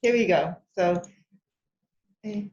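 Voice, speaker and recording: A woman's voice: lowish voice, slow pace, darkish tone. A woman casually coordinating an online workshop as its moderator. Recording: dull lo-fi call audio, faint noise.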